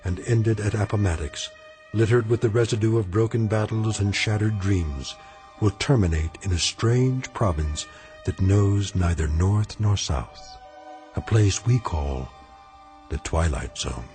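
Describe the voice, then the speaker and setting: A man narrating a radio drama in a solemn, grave and measured way. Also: lowish voice, darkish tone, faint music behind.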